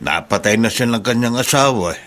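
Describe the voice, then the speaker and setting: A man's voice: mid-range voice, lively intonation, brisk, fairly bright tone, natural voice. A Filipino man playing a plain-spoken, nosy older uncle in a radio drama, folksy and inquisitive. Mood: sheepish.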